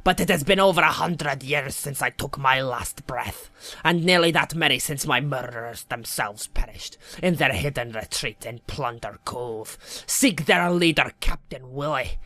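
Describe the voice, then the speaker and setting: A man's voice: mid-range voice, bright, forceful tone, dry recording. Man putting on a whispery old drowned fisherman ghost. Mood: grave, vengeful.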